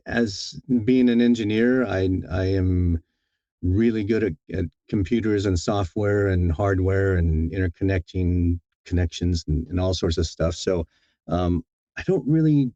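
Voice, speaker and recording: Low voiced guy chatting in an interview, halting, repeats himself, slightly muffled dry recording. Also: mellow, no echo. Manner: halting, thoughtful, repeats words as he goes. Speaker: audiobook narrator being interviewed. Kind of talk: casual interview talk.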